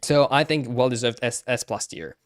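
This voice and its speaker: Halting male streamer chatting casually. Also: medium pitch. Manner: halting, repeats himself. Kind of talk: casual livestream commentary on game cutscenes.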